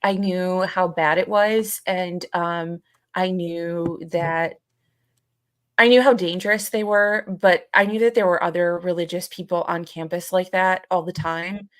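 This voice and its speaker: Young woman podcast co-host, slightly low voice in a dry recording; thoughtful, conversational, circling back over her phrases. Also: steady tone, dry recording. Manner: halting, repeats phrases while thinking. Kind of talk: reflective casual conversation.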